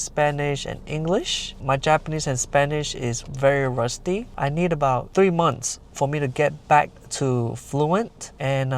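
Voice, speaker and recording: A man's voice: mid-range voice, slight background noise. Guy in his 20s from malaysia doing a daily vlog, easygoing, kinda broken english grammar, lots of "like". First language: Chinese dialects. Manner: plain conversational, uses lots of "like" and "you know", nonnative grammar. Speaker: vlogger. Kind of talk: casual vlog talk to camera.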